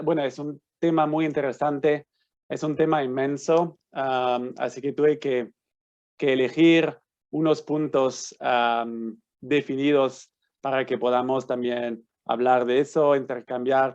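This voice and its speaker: Swiss male lawyer, non-native Spanish, hesitant delivery, muffled lo-fi video-call audio, mid pitch. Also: a little mellow. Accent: Swiss, speaking Argentine-learned Spanish. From Switzerland. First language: not Spanish. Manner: hesitant, frequent restarts and fillers. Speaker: guest lecturer, lawyer. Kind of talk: online lecture. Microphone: computer mic on video call. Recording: slightly dull lo-fi sound.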